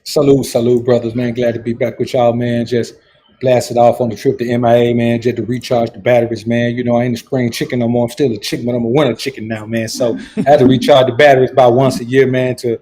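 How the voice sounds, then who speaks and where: A man's voice: medium pitch, level tone, quick pace, dark tone, a little hiss or hum. A man, a laid-back podcast guest who says "man" constantly.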